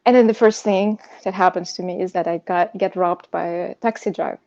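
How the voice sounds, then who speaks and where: A woman from Russia, a native Russian speaker, telling a personal story as an interview guest in a halting, searching way. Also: fairly low pitch, steady tone, a little mellow, highs cut off. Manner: hesitant, halting, frequent "you know". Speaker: podcast guest sharing personal insomnia story; pianist.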